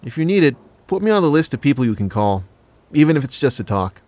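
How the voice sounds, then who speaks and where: An actor playing a cheeky, big-hearted casual lover in an audio drama, with blunt, slangy, joking talk. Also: male voice, medium pitch, very animated, fast, a little mellow, dry recording, close to an everyday voice. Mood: sincere.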